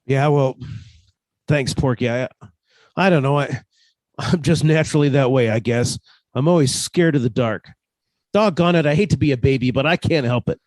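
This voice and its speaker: A man's voice: medium pitch, normal speed, long pauses, darkish tone, dry recording, close to an everyday voice. An actor playing a timid, easily spooked young oil-rig man in radio horror drama style, hesitant and folksy. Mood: sheepish, nervous. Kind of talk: old-time radio horror drama acting.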